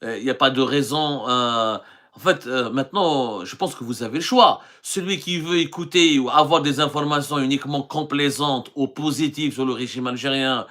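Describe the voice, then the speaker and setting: A man's voice: medium pitch, moderate pace, a bit bright. A middle-aged man from Algeria, a journalist hosting a live stream and answering viewer questions in an earnest way, with frequent hesitations and restarts.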